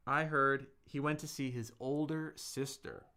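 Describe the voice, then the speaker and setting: A man's voice: mid-range voice, steady tone, unhurried, sharp tone, clean tone. A man teaching a live-streamed Chinese lesson, patiently explaining tones, modelling words aloud and answering viewers' chat.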